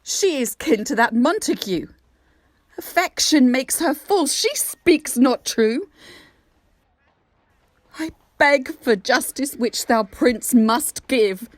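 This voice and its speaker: Slow, high-voiced vengeful noblewoman. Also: expressive, no echo, heavily acted. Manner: imperious, declamatory. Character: grieving, vengeful noblewoman demanding justice. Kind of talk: Shakespeare audio drama acting.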